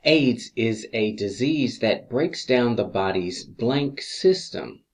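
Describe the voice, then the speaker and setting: A man reading scripted exam review questions as an instructor, deliberately, pausing between each answer choice. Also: mid-range voice, animated.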